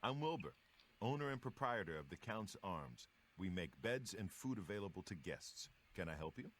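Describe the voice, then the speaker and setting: A lively, low-voiced fantasy innkeeper. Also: male voice, moderate pace, a little hiss or hum. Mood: courteous, inviting. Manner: courteous salesman's patter, short polished phrases. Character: polite, obliging male innkeeper in a fantasy game. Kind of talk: scripted video game dialogue.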